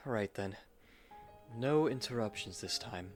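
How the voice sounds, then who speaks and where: A man voicing a devoted young gentleman husband, gentle, earnest and formal, in a romantic audio roleplay. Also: on the low side, lively intonation, measured pace, soft background music. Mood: tender, shy.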